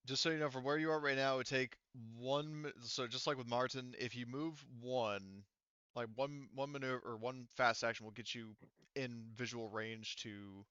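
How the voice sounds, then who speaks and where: A man's voice: mid-range voice, pushed and bright, clear, resonant tone, no room sound, highs cut off. A man speaking as game master, narrating and explaining rules in an informal, joking way. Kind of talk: casual tabletop roleplaying game narration.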